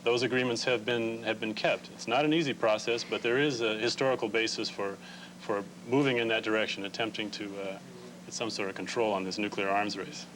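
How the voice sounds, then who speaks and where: Male interview guest, American, lower-pitched, measured explainer; clearly noisy, humming recording. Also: steady tone. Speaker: Quaker relief organization representative, interview guest.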